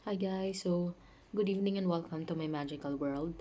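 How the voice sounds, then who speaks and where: A woman hosting a casual spiritual talk at home, sounding sick with a mellow voice, chatty with fillers and asides. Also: lowish voice, brisk, over loud music. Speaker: channel host. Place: home, dogs nearby.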